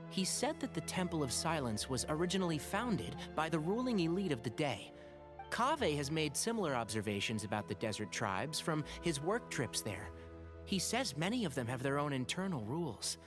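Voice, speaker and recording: An expressive game scholar-healer, fairly high voice, courteous; faint music. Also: male voice. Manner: polite, lively, earnest. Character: thoughtful young male scholar and healer. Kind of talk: game voice acting.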